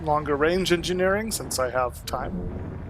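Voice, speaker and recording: A man's voice: a little high, very animated, unhurried, background noise. A man getting over a cold, chatting casually with many ums and uhs as he streams a space game. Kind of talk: casual live-stream commentary.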